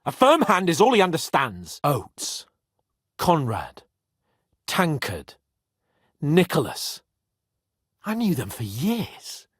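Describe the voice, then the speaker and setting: A proud, hot-headed young knight of noble birth for a medieval video game. His voice is husky and swings widely from sardonic sneers to imperious outbursts, all captured very dry and close. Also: on the high side, huge pitch swings, takes it slowly, long pauses, fairly bright tone, no echo, full character voice. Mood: disdainful. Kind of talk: dramatic video game voice acting.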